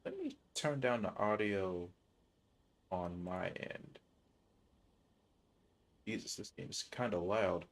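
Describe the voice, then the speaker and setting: A man's voice: sits low, lively intonation, slow pace, long pauses. A man chatting casually on a livestream as he plays a game, rambling and thinking aloud in informal slang. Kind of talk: casual livestream chat while playing. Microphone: streaming mic with noise suppression. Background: game music.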